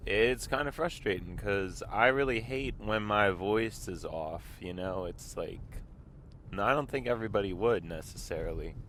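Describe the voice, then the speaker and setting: A man with a very deep, congested voice rambles slowly from the seat of a car. He keeps stopping to clear his throat, and his words come out in loose, meandering stretches. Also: a bit bright, slight background noise. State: congested, slightly sick voice. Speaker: vlog host. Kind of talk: casual talk while driving. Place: inside a car.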